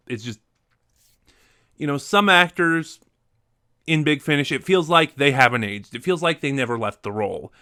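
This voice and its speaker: A man speaks as a reviewer in a casual, conversational style. His delivery is a little halting, as if working out his thoughts aloud, and the sound is dry with little room in it. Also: mid-range voice, a bit bright, no room sound. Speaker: reviewer ranking favorite audio dramas.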